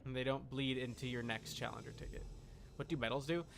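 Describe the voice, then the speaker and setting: A man giving casual, deadpan joking live commentary while playing a video game. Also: medium pitch, fairly quick. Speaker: game streamer. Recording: clear background noise.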